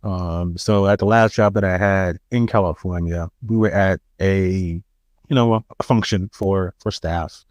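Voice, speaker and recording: A man with a New York accent, co-hosting a podcast in casual chat, soft-spoken and halting, often restarting his sentences with a self-deprecating air. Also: low voice, steady tone, dry recording. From Queens, New York. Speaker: podcast co-host.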